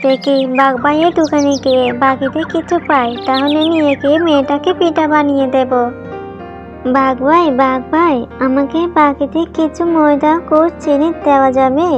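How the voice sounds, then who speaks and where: A woman voicing a poor, gentle working mother with a pleading manner in a children's cartoon. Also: high voice, fairly even intonation, normal speed, natural voice. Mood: humble, pleading. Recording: dull lo-fi audio, music behind. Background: background music.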